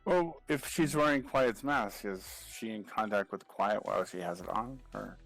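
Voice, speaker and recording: A man's voice: on the low side, moderate pace, creaky, a bit bright, no room sound, faint music behind, low-quality recording. A man playing a tabletop role-playing game, speaking hesitantly with a wry edge. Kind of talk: casual in-character game chat.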